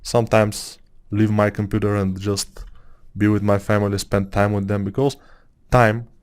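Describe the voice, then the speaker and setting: Low-voiced Bulgarian man musing while sculpting. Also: little pitch movement, moderate pace, a little mellow, clean tone. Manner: rambling, musing, with many fillers. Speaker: 3D sculpting tutor. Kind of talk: casual tutorial talk over screen work.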